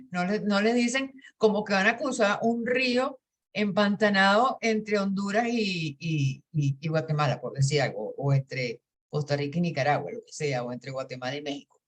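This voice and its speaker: A woman's voice: fairly low pitch, normal speed. A woman, a human rights researcher speaking earnestly on a webinar panel, with many fillers and tag questions.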